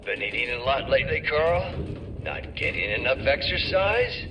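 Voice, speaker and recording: A man playing a mocking gang associate in a video game, needling someone in a drawn-out, taunting way. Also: mid-range voice, very animated, close to an everyday voice. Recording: distant game audio under music.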